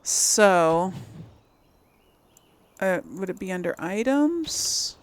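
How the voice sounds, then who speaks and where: A woman's voice: medium pitch, expressive, extremely slow. A woman hosting a let's play, chatting casually to herself and her viewers as she comments on the game.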